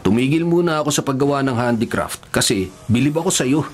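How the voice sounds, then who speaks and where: An actor playing a big, steady male cell leader who speaks calmly and with authority in a radio drama. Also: mid-range voice, fast, a little hiss or hum, close to an everyday voice. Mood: persuasive. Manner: calm, firm, authoritative. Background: music.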